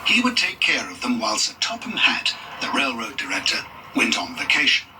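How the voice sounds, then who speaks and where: A man reading a scripted children's story as narrator, in an expressive, dramatic read-along style. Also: medium pitch, brisk, recorded from a distance, over music.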